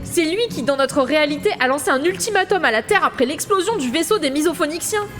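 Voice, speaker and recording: A woman's voice: high-pitched, expressive, fast talker, a bit bright, faint music behind. A woman playing a sarcastic army sergeant in a comic sci-fi audio drama, wry and colloquial.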